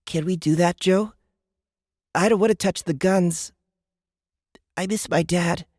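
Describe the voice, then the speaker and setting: A woman's voice: fairly low pitch, measured pace, darkish tone, dry recording, studio quality. A woman voicing a frightened, homesick small child in a science fiction audiobook. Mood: scared, homesick.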